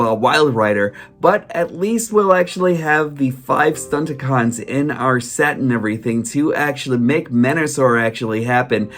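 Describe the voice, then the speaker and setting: A man talking casually to his viewers in a vlog, hoarse from a cold, rambling with lots of fillers and restarts. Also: mid-range voice. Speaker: toy collector vlogger. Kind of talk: casual solo vlog chat.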